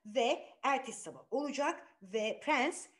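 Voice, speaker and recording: A woman telling a fairy tale to children in an animated, informal, conversational way, often putting questions to her listeners. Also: high voice, unhurried. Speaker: children's storyteller.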